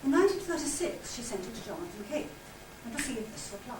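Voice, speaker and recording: A woman's voice: fairly high pitch, sing-song, fast talker. An older woman giving a scripted literary presentation, reading out narration, letters and diary extracts. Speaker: presenter and reader at a literary society conference. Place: conference hall. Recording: echoey room, distant mic, loud noise.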